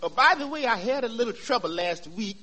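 A man's voice: extremely high pitch, animated, fairly quick. A man playing a folksy, sly shoeshine man with dry wisecracks and mock politeness in 1940s radio comedy. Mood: sly, mock-innocent. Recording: noisy 1940s radio recording, studio audience.

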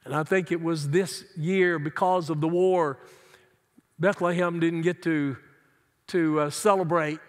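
A man's voice: on the high side, a bit bright. A middle-aged male pastor preaching an earnest, emphatic sermon from his seat in a large church sanctuary. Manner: earnest, emphatic preaching with wide hand gestures. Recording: long church hall reverb.

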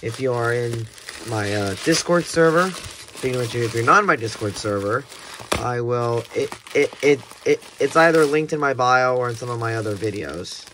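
A young man with an American accent presents an unboxing in a casual, halting way, repeating words as he goes. He sounds like he is at a desk indoors on a phone, with clear noise and handling clicks around his voice. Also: medium pitch, animated. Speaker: video creator unboxing weather radios. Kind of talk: casual hands-on unboxing chat.

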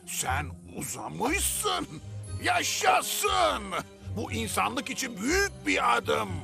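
A man's voice: very high-pitched, big pitch swings, very slow, bright, forceful tone, heavily acted. An actor in a cartoon dub playing an eccentric, boastful old inventor grandfather, theatrical and full of grand exclamations. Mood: triumphant, boastful. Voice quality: creaky, gravelly. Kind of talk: animated cartoon dub acting. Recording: faint music behind.

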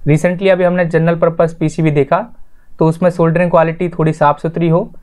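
A man's voice: fairly high pitch, level tone, fairly quick, darkish tone. A man hosting an informal explanatory voice-over lesson, addressing viewers directly in an instructional way and restating his points. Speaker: tutorial host.

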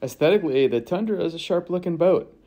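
A man's voice: on the high side, lively intonation, quick pace, very noisy. Easygoing man narrating kayak features. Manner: easygoing, listing features in short phrases. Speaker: kayak reviewer. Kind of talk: narrated product walkthrough.

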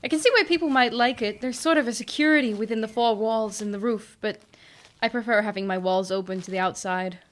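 A gentle, independent woodland elf woman played by an actress in a fantasy audio drama. Her voice is fairly high, and she speaks in a polite, measured manner. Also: natural voice.

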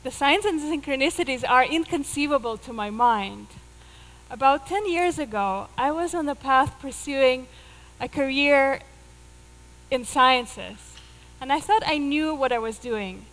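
A woman's voice: sits high, unhurried, a bit bright, long reverb tail, low-quality recording. A woman in her thirties from Russia with a Russian accent, telling a personal story in an earnest way as a speaker on a theater stage, miked with a headset. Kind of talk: live stage talk.

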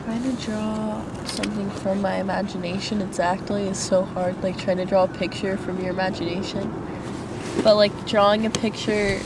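A woman's voice: mid-range voice, little pitch movement, moderate pace. A young woman chatting casually and giggly with friends outdoors by the water. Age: young adult. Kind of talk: casual chat. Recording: loud outdoor noise on camera mic.

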